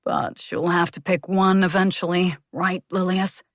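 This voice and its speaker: A woman voicing a calm, gently ironic American gentleman who speaks deliberately. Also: on the low side, no room sound, clean studio sound. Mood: wry. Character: wry, cool-headed American gentleman suitor.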